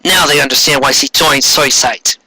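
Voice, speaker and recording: A narrator giving a dramatic comic reading of amateur fiction, halting and stumbling over words. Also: medium pitch, pushed and bright, husky, low-quality recording. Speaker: fan fiction reader.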